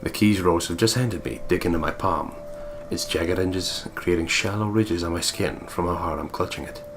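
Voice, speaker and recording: A man reading visual novel text aloud as a deliberate, storyteller-like narrator. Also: sits low, vocal fry, background noise. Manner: deliberate, storyteller-like, playful putting on of voices. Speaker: gaming video co-player reading the text aloud. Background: game music.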